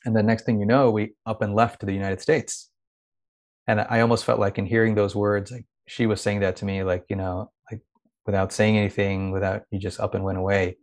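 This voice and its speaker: Soft-spoken thoughtful man, from India. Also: on the low side, level tone, fairly quick. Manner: thoughtful, searching, with frequent restarts. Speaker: guest. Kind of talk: reflective conversational interview.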